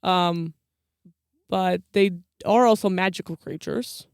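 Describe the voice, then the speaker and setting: A woman's voice: fairly low pitch, takes it slowly, clear, resonant tone, dry recording. Casual woman podcaster with a dry, close sound. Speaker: podcast co-host explaining folklore. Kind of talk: casual explanatory chat.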